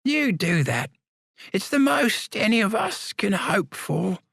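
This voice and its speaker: A man voicing a stubborn, toothless old man in his nineties with a shrill, piping voice. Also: very animated, slow pace, vocal fry, a bit bright, slightly rough, no echo, clean studio sound, exaggerated voice. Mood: cantankerous. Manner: stubborn, cantankerous.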